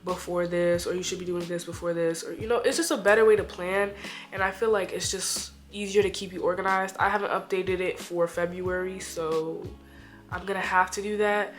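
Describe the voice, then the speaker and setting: A woman's voice: on the low side, steady tone, normal speed, faint music behind. A young woman vlogger talking casually about her phone. She sounds congested from a cold and fills her sentences with "like". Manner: chatty, loose, heavy on "like". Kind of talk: casual chat.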